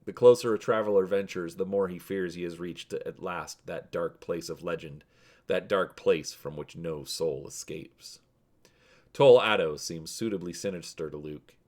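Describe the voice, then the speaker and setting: A man's voice: sits low, normal speed, a little mellow. A man hosting and narrating a dramatic reading of an adventure storybook. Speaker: host and narrator.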